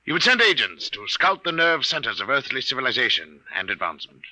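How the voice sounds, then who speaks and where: Pompous rich oddball from 50s radio, low piercing voice, super formal, really dry sound. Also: male voice, big pitch swings, fast talker, sharp tone, no room sound, full character voice, low-quality recording. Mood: pompous, insistent. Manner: precise, pompous, formal diction. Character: formal, wealthy, eccentric male client obsessed with alien invasion. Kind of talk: 1950s radio drama acting.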